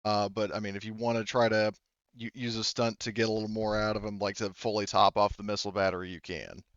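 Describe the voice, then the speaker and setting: A man's voice: fairly low pitch, little pitch movement, fast, a bit bright, clean tone, no room sound, highs cut off. A man casually running a tabletop game as game master, thinking aloud with a hesitant delivery.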